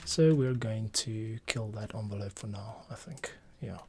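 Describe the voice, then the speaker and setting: A man's voice: on the low side, measured pace, a little mellow, slight background noise. A middle-aged man thinking aloud in casual commentary as he edits audio. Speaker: podcast audio editor, streamer. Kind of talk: casual work-along commentary. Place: small home studio room. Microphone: microphone on arm, close to mouth. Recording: clear keyboard and mouse clicks.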